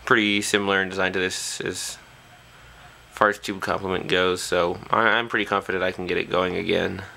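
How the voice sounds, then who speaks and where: A man's voice: low voice, flat delivery, a little fast, creaky, bright, forceful tone, low-quality recording. A man talking casually and off the cuff as a hobbyist presenter, hesitantly thinking aloud at an indoor workbench on a camera's built-in mic. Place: small indoor workshop at a bench.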